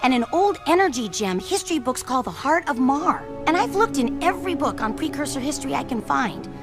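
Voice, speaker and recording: A woman's voice: high-pitched, sing-song, fairly quick, music in the background. A young woman playing an earnest, encouraging young mechanic in a video game, sincere and youthful. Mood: shy enthusiasm. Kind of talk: animated video game acting.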